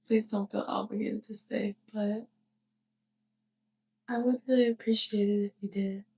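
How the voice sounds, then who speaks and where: A woman playing a sweet, clumsy young witch neighbor in an intimate ASMR roleplay, speaking softly and hesitantly with shy affection. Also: mid-range voice, little pitch movement, measured pace. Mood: shyly hopeful. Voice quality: soft, breathy. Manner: hesitant, giggly rambling.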